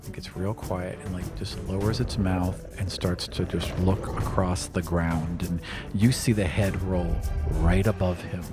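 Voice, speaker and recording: Relaxed male game master over loud music. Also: low-pitched, measured pace. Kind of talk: narrating a tabletop game.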